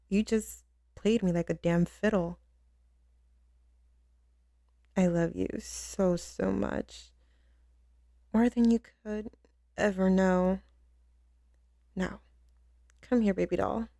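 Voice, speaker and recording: Woman playing a tough mafia queen, tender and doting, slow intimate ASMR delivery, dark mellow tone, heavy vocal fry, swaggering slang. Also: medium pitch, fairly even intonation, dry recording, studio quality. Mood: fond, teasing. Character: tough, commanding female mafia boss, tender and doting with lover. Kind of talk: intimate ASMR roleplay acting. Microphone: close studio microphone, dry.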